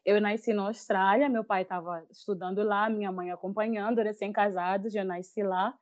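A woman's voice: mid-range voice, steady tone, moderate pace, a little mellow. Young Mozambican woman, chatty, slightly lo-fi sound. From Mozambique, raised in Maputo. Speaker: podcast guest. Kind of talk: casual personal storytelling in an interview. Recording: slightly dull lo-fi audio.

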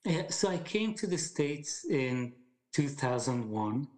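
A man's voice: fairly high pitch, big pitch swings, slow, darkish tone. Hesitant man, thoughtful. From Hungary. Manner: thoughtful, hesitant, many fillers and restarts. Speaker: guest. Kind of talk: casual conversation.

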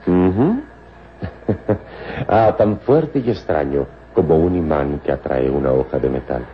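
A man playing a noble, commanding adventurer in an old radio serial, speaking calmly in formal, measured, poetic phrases. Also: extremely low pitch. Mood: warm, amused. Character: noble adult adventurer hero, calm and commanding. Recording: muffled, noisy old radio serial recording.

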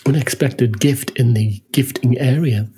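A husky, slightly breathy man chatting casually as a podcast co-host. He laughs a lot and keeps checking in with a quick "huh?". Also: mid-range voice, dark tone. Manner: chatty, laughs often, tags lines with "huh?". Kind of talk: casual chat.

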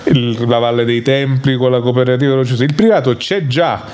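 A man's voice: medium pitch, fairly quick, darkish tone. Male radio host, opinionated, sarcastic asides, some hesitation. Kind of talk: opinionated studio commentary. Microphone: studio microphone.

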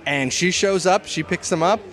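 Male podcast guest, higher-than-average pitch, animated casual storyteller. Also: normal speed. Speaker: podcast guest, co-host of a film podcast. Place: on location at a Halloween event.

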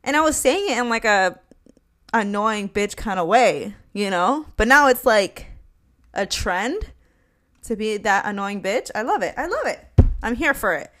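A woman's voice: mid-range voice, expressive, a little slow, fairly bright tone. A young woman hosting her own podcast in a casual, rambling solo chat, swearing casually and sometimes slipping into Spanish. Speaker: podcast host.

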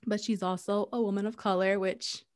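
A woman co-hosting a podcast in casual chat, animated, laughing often and slipping into Spanish phrases. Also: medium pitch, a little fast. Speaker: podcast co-host.

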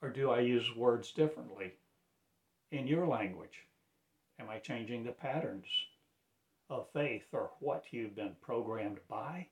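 A man preaches in a slow, unhurried way, his voice sitting at an ordinary middle pitch. He speaks solemnly and declaims like a prophet, rising suddenly to loud swells and sinking into hushed drops. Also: poor audio. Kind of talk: rambling prophetic monologue.

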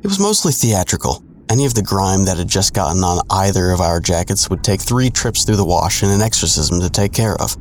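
A man's voice: low voice, expressive, fairly quick, hardly any pauses, slight background noise. An actor narrating an audio drama in the first person as a wry, sardonic man recalling his misadventures.

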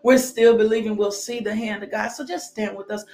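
A woman preacher delivering a fervent sermon, repeating declarations, breaking into high whoops and dropping to hushed prayer. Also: steady tone, fairly quick, music in the background. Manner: exclamatory, with sudden high whoops. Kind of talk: fervent sermon with altar call and blessing.

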